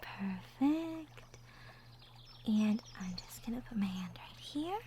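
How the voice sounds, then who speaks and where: A woman playing a gentle, caring young girlfriend from the woods in a soft, intimate romantic ASMR roleplay, with tender murmurs. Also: mid-range voice, animated, slow pace, slight background noise. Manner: soft, tender, breathy murmurs with light giggles. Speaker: audio roleplay performer. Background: birdsong and outdoor nature ambience effects.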